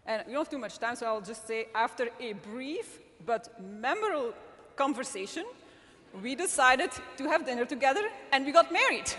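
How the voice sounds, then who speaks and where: Belgian-born woman speaking in a reverberant hall. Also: high-pitched. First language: not English. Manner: earnest, warm storytelling with frequent restarts. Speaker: magazine editor-in-chief speaking at a summit. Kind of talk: conference talk to a live audience. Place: large event hall.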